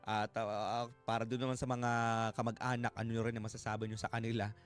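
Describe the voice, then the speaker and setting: A man's voice: fairly low pitch, flat delivery, fast. A man hosting a live radio talk show, reacting to callers and listeners in a conversational way. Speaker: radio host. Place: radio studio. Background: background music.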